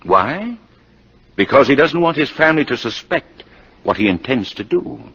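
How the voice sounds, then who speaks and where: Smug husky company boss, very animated, muffled 1950s radio drama. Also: male voice, mid-range voice, normal speed, full character voice. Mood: pressing, smug. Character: pompous, smug male insurance company boss. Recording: muffled vintage radio broadcast.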